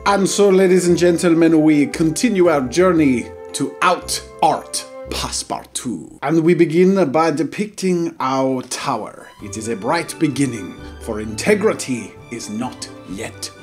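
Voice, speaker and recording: A man's voice: fairly high pitch, unhurried, few pauses, soft background music, lightly acted. A man voicing a melodramatic, pompous French artist with an exaggerated fake French accent, hosting game commentary in character. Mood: theatrical, grandiose. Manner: theatrical, dramatic, self-mocking. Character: pompous, melodramatic, wine-loving French male artist stuck in tower. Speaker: gaming video host.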